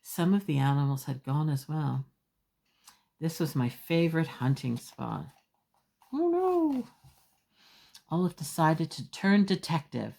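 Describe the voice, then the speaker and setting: A woman's voice: sits low, moderate pace, gravelly, dark tone. A woman storyteller reading a children's picture book aloud expressively, with emphatic phrasing and small asides to young listeners.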